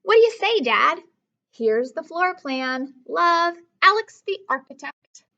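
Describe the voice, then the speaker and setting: A woman voicing a persuasive, self-important schoolboy in a storybook read-aloud, pleading with emphatic, drawn-out words. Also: very high-pitched, very animated, gravelly, pushed and bright, dull audio, pitched above the performer's own voice, full character voice. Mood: excited. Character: persuasive, self-important school-age boy writing to his dad.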